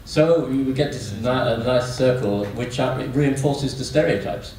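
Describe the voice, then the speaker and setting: A man's voice: mid-range voice, unhurried, a little mellow. A man giving a spontaneous, reflective comment from the audience at a meeting. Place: large echoey room at a meeting. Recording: echoey hall, distant mic, faint noise.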